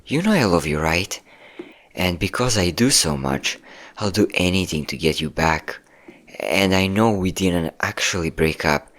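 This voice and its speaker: Man acting a low-voiced possessive yandere ex-boyfriend. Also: expressive, unhurried, a bit bright. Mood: coaxing, controlling. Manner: coaxing, menacing sweet talk with pet names. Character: possessive, manipulative yandere ex-boyfriend hunting his hidden partner. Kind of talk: dramatic ASMR roleplay monologue.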